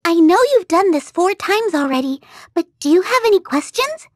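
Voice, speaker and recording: A woman voicing a tiny, bossy, upbeat female fairy guide in a video game, brisk and pert. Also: very high voice, animated, background noise. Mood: businesslike. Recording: music under the voice.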